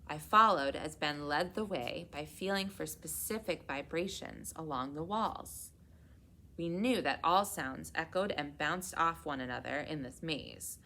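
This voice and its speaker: Slow-reading woman storyteller, lower-pitched. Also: pushed and bright. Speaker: children's read-aloud reader at a public library. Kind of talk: expressive storybook narration for children.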